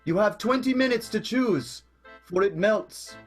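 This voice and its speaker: Slow, deliberate seller of magical treats , acted. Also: mid-range voice, animated, soft background music, natural voice. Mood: tempting, ominous. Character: someone offering magical ice cream that causes lycanthropy. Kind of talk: improvised radio soap acting.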